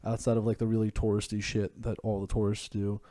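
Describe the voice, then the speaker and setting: A man's voice: on the low side, monotone, quick pace, warm tone, dry recording. A man co-hosting a casual football podcast, joking and teasing his friends. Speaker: podcast co-host. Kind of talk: casual chat.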